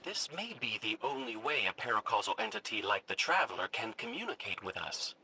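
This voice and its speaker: A small robot companion from a sci-fi game. It is very sharp and bright with a creaky edge, animated and earnest, over faint noise. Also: male voice, on the low side, fairly quick, full character voice, poor audio. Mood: earnest, awed. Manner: earnest, reverent. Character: small earnest male robotic companion spirit, devoutly purposeful. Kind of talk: cinematic video game dialogue.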